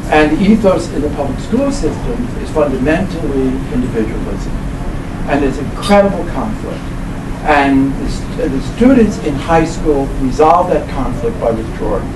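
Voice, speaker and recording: Senior male lecturer, 70s, slightly high voice, halting but emphatic, roomy sound with clear background hum. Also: expressive. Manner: halting delivery with repeated words and emphatic stresses. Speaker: lecturer, professor. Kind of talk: informal public lecture, book presentation. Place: small indoor meeting room. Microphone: camera mic a few metres away. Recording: roomy, distant mic, loud ventilation hum.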